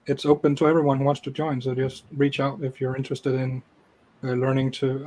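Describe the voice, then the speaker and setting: A man guest on a developer podcast, explaining things in an even, matter-of-fact way. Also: mid-range voice, low-quality recording. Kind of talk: casual interview talk.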